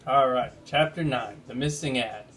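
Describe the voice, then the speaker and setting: A man's voice: medium pitch, normal speed, a bit bright. A man who talks casually and reads a children's story aloud like a teacher. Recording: noticeable background noise.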